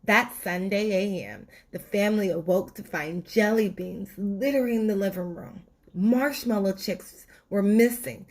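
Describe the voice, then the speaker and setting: Slow-paced woman reading a children's story. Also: mid-range voice, darkish tone. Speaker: storybook reader. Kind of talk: expressive children's story narration.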